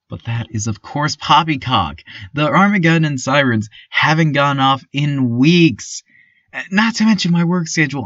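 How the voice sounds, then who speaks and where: A man's voice: medium pitch, fairly bright tone, no room sound, dull audio. A man playing a cheery, doting small-town radio host in an eerie comedic drama, with a gushing, folksy, theatrical manner and stammering asides. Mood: flustered, dismissive. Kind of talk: scripted comedic radio monologue, community news parody.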